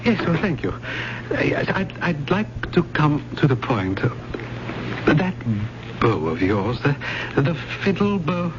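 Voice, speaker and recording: A man's voice: a little high, very animated. An actor playing a nervous, obsessive, genteel male poetry professor who stammers with fussy politeness in an old-time radio drama. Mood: halting, insistent. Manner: halting, stammering, fussily polite. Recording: muffled, noisy old radio recording.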